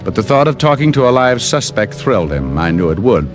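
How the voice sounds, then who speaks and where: An actor playing a cynical, wisecracking hard-boiled private detective in an old-time radio drama, with a wry, dry delivery. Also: male voice, on the low side, big pitch swings, fairly quick, music in the background. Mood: wry narration. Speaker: lead actor and narrator.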